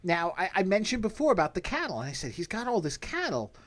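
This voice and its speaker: Lively American man, podcast co-host. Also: high-pitched, quick pace. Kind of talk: casual chat recapping a TV episode.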